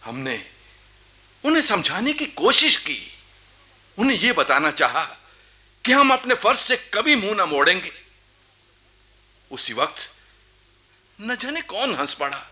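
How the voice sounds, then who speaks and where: An actor playing a proud, impassioned young Indian ruler in a theatrical Hindi radio play, with a grand, deliberate, declamatory delivery. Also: male voice, high-pitched, sing-song, bright, forceful tone, heavily acted. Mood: brooding, haunted.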